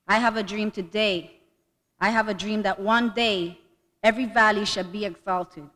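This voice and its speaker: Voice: mid-range voice, a bit bright. A male voice giving a dramatic reading of a speech as oratory at a commemorative event. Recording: reverberant hall.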